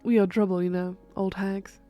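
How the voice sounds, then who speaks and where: A woman's voice: medium pitch, flat delivery, moderate pace, warm tone, soft background music, natural voice. A woman voicing an old witch and necromancer, blunt, sardonic and crude-mouthed, in an audio drama. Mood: menacing. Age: older.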